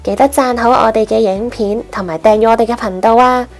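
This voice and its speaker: A woman's voice: a little high, steady tone, a little fast, soft background music. A woman narrating a children's storybook expressively as host.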